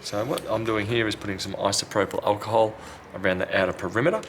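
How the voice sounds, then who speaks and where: A man's voice: fairly low pitch, quick pace, a little hiss or hum. A man talking casually and thinking aloud as he presents a hands-on repair tutorial. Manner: unscripted, thinking aloud while working. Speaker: repair technician, video host. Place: indoors at a repair workbench.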